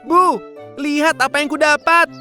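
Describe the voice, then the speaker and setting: A woman's voice: sits high, fairly even intonation, moderate pace, sharp tone, soft background music. A woman voicing a naive, childlike young boy in a children's cartoon fairy tale. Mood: excited. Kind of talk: children's storybook cartoon dialogue.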